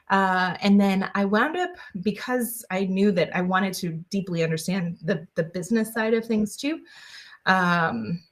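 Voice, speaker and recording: A woman guest on an interview podcast, answering in a chatty way with many fillers and restarts. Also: medium pitch. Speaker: guest, engineer.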